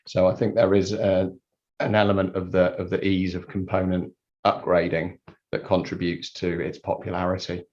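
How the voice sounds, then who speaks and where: A man giving a conversational technical explanation as an interview guest, halting and repeating words as he thinks. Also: sits low, little pitch movement, a little mellow. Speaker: interview guest, loudspeaker designer. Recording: dull lo-fi sound.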